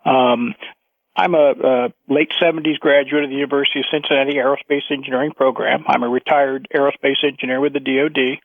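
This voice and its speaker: An American man in his sixties calling a radio show, telling a recollection in an earnest, halting way. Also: medium pitch, fairly even intonation, creaky, no echo. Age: 60s. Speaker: caller, retired aerospace engineer. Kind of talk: recounting a memory on a phone-in. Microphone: telephone. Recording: tinny narrow phone line.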